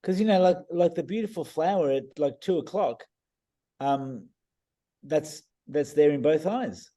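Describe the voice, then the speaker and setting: A man on a video call explaining eye readings in a casual, enthusiastic way, his voice a bit croaky and full of restarts. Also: a little high, lively intonation, measured pace, warm tone. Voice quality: hesitant, many restarts and fillers. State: croaky voice, clearing throat. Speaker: iridologist guest reading eyes.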